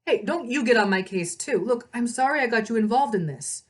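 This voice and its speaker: A woman's voice: fairly high pitch, expressive, a little fast, voice pushed up. A woman reading the part of an earnest teenage boy hero in a storybook, casual with some sarcasm. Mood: reassuring.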